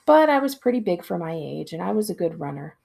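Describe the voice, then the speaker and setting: Female storybook narrator, librarian read-aloud style: expressive delivery, lower register, strongly raspy. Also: fast talker. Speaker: school librarian reading aloud.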